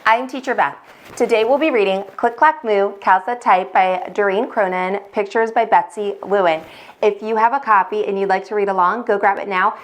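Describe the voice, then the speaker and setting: A woman hosting a children's storytime, reading expressively with deliberate emphasis, like a teacher. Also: medium pitch. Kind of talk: expressive read-aloud storytelling for young children.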